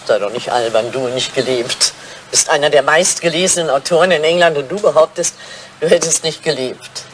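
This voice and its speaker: A woman's voice: sits low, brisk, background noise, close to an everyday voice. An older woman acting a poised, evasive widowed English lady in a German radio drama, cool and arch in manner. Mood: frank.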